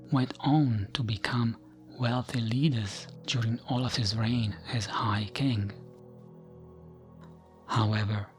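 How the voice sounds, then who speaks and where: A man narrating fantasy lore as soft ASMR, gentle and soothing, with a breathy voice that often nears a whisper. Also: mid-range voice. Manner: gentle, soothing, unhurried storytelling.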